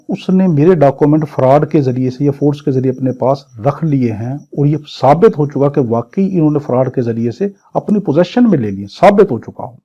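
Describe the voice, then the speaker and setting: A man's voice: fairly high pitch, dark tone. A man with a Pakistani accent teaching law as an exam-prep lecture, reading out English statute phrases and explaining each one point by point. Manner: didactic, repeats and rephrases point by point. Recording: dull, muffled lo-fi audio.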